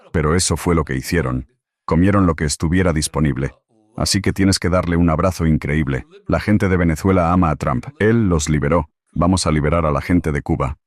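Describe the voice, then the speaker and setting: A man's voice: extremely low pitch, no echo. A man speaking as a political commentator guest, emphatic and repeating his key phrases in an interview answer.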